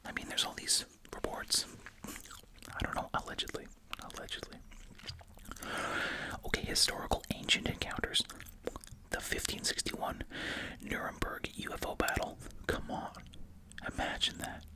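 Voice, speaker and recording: An ASMR host speaking in a breathy, unvoiced whisper, reading aloud and musing casually. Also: measured pace.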